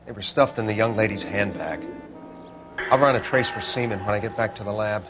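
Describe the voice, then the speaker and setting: An actor playing a dry, unflappable 1940s police coroner in a video game, speaking in a clinical way. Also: male voice, fairly low pitch, fairly quick, music in the background, natural voice. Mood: dry, measured.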